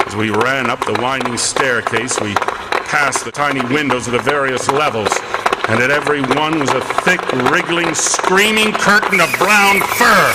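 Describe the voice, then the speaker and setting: A man playing a haunted lighthouse keeper in a radio horror drama, telling his tale in an emphatic, halting, theatrical way. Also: mid-range voice, very noisy, natural voice. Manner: dramatic, halting, emphatic storytelling with repeated phrases. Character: haunted lighthouse keeper narrating a rat siege, intense, horrified.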